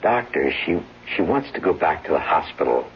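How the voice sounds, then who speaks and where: An actor playing a solicitous, evasive husband, coaxing and protesting earnestly in an old-time radio drama. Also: male voice, rumbling low, sing-song, normal speed. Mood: hesitant, smooth. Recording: muffled, hissy, humming old radio recording.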